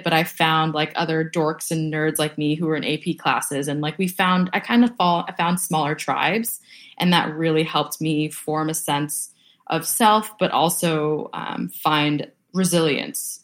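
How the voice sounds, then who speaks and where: A woman's voice: low voice, fairly bright tone. A young woman from the Boston area, a food brand co-founder, talking as a guest in a casual interview, upbeat and chatty with lots of "like". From Boston area, USA. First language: Vietnamese. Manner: upbeat, chatty, many "like" fillers.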